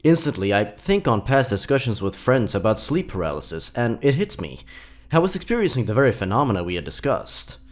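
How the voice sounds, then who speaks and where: A man's voice: mid-range voice, big pitch swings, fairly quick. A man narrating scripted horror stories in a suspenseful, theatrical manner.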